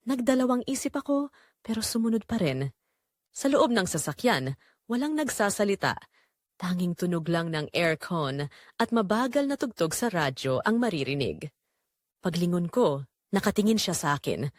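Female storyteller for romantic audio drama: expressive, varied intonation, studio-dry sound. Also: on the low side, normal speed, dry recording. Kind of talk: scripted first-person dramatic narration.

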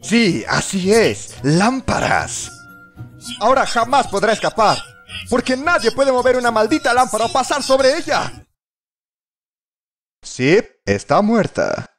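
A man's voice: very high voice, sing-song, unhurried, pauses often, fairly bright tone. A man narrating a scripted comedy parody in a sarcastic, exaggerated, exclamatory manner. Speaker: fandub narrator. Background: music.

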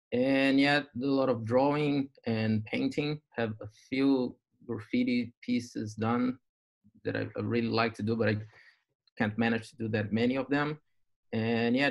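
Gravelly-voiced man from Brazil. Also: medium pitch, highs cut off. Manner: relaxed, rambling. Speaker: art student. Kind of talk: casual self-introduction.

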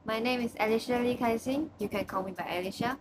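A woman's voice: fairly high pitch, quick pace, background noise. A nineteen-year-old woman from Malaysia with a Malaysian English accent, talking casually about herself in her bedroom, often restarting her sentences. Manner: chatty, frequent restarts and repeats. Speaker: student. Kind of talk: casual personal video report. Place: small bedroom, indoors. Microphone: webcam at desk distance.

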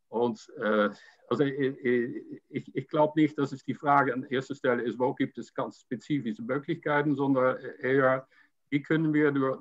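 Halting older man on a video call. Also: mid-range voice. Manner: halting, many fillers and repeated words. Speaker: panel guest. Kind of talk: panel discussion over video call. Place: home room with painting. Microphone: video call webcam. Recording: dull lo-fi call audio.

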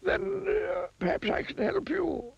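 A man's voice: extremely high pitch, animated, unhurried, gravelly, no room sound, dull audio, voice pushed up, exaggerated voice. A man voicing a pompous, long-winded storybook wizard who is kindly but stern and rambles through qualifications.

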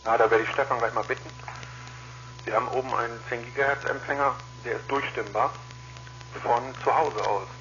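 A man's voice: medium pitch, steady tone, a little fast. A man in a casual two-way chat as an amateur radio operator, heard over a radio link. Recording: lo-fi ham radio link with hiss.

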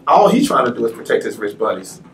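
A man's voice: mid-range voice, very animated, fast, fairly bright tone. A man hosting a studio interview, talking emphatically and animatedly. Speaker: host. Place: small TV studio. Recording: echoey studio, distant mic, faint hiss.

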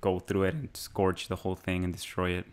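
A man's voice: low voice, completely flat delivery, fairly quick, clean tone. A man co-hosting a podcast, raised in Mexico, chatting casually in a rambling, musing way and laughing often. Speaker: podcast co-host. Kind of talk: casual chat.

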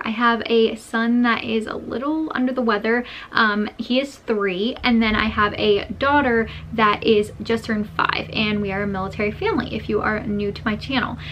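A woman's voice: a little high, fairly bright tone, highs cut off, a little hiss or hum. A young woman in her twenties, a vlogger chatting casually to the camera at home with an easygoing, um-filled manner. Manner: chatty, easygoing, frequent ums. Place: small home bedroom, indoors.

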